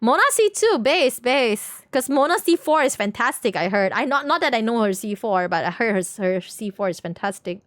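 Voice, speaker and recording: A woman's voice: fairly high pitch, very animated, moderate pace, hardly any pauses, no room sound, clean studio sound. A woman livestreamer chatting casually with viewers in a rambling, slangy way, often repeating her phrases. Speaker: game livestreamer. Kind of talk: casual stream chat with viewers.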